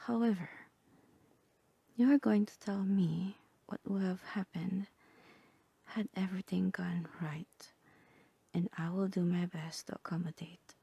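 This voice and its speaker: A woman voicing a calm, composed therapist in a soft, breathy, half-whispered ASMR roleplay, measured and clinical. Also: fairly low pitch, no echo, heavily acted. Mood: soothing, professional. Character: calm, composed female therapist guiding a patient through roleplay.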